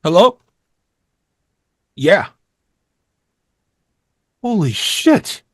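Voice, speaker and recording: A man playing an excitable teenage horror-movie geek in an amateur table read. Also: high-pitched, very slow, no room sound, studio quality, exaggerated voice. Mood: shocked.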